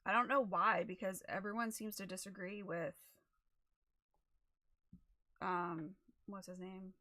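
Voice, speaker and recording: A woman chatting casually as she sets up a livestream, a little raspy and losing her voice, rambling with many fillers into a desk microphone. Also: mid-range voice, steady tone, measured pace, pushed and bright. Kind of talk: casual chat while setting up a stream.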